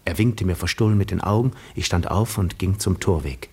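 A weary seafarer telling his own tale in a 1950s radio play. The voice is low and breathy, with measured, dreamy phrasing and dramatic shading toward a hush. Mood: dreamy, spellbound. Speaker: narrator. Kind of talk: 1950s German radio drama, literary first-person narration.

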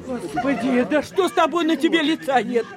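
Actress as shrill-pitched, excitable village housewife. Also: female voice, moderate pace, close to an everyday voice. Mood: flustered alarm. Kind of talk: radio play acting. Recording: crowd murmur behind, off-mic.

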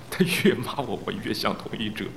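A man's voice: lowish voice, vocal fry, background noise, full character voice. A man playing a teasing, cocky, easygoing film actor in an audio drama, with a playful drawl. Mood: gleeful. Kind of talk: Chinese audio drama acting.

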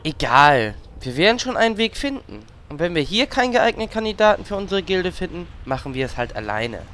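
A man playing an excitable, impulsive male guild member in a parody audio drama. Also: high voice, fairly quick. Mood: lively. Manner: lively, impulsive.

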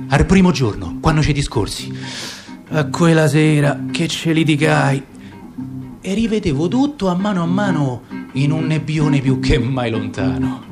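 An actor as a nostalgic middle-aged man with a Roman accent recites dialect verse like a storyteller. His voice sits fairly high and swings widely in pitch, with guitar playing clearly behind him. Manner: expressive, storytelling. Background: acoustic guitar music.

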